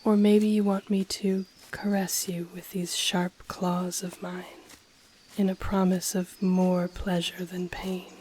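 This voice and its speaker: Ancient dragon familiar, acted by a woman, low-pitched, breathy and soft, condescending yet tender, formal teasing purr, intimate ASMR roleplay. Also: little pitch movement. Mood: teasing, seductive. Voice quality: soft, breathy, often whispering. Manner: slow, formal, teasing, purring delivery.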